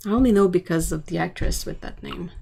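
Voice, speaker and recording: A woman's voice: fairly low pitch, fast talker, creaky, warm tone, breathy edge. Chatty woman hosting a livestream. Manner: chatty, reads out and answers viewer comments. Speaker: livestream host. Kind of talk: casual livestream chat while painting swatches.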